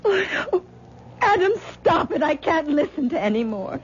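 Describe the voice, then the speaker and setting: A worried wife, kinda high voice, old radio play, muffled and hissy. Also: female voice, sing-song, moderate pace. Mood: distressed. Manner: pleading, anxious, on the verge of tears. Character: troubled young wife losing patience with her husband. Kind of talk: 1970s radio drama acting. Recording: muffled old radio broadcast with faint hiss.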